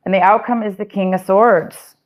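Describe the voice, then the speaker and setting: A woman's voice: mid-range voice, fairly even intonation, normal speed. A woman giving a casual, rambling tarot reading, talking straight to her viewers with fillers and restarts, and saying she is losing her voice. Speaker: tarot reader.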